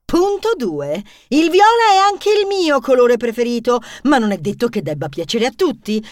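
A woman voicing a dramatic, bossy young woman who swings from loud declarations to hushed asides. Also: a little high, very animated, normal speed, dry recording, clean studio sound.

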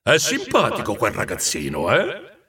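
A man's voice: lowish voice, wildly swooping pitch, unhurried, creaky, bright, forceful tone, recorded from a distance, voice pushed down, full character voice. Man voicing a giant jovial, teasing Christmas ghost. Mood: amused, teasing. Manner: amused, sighing, weighty delivery.

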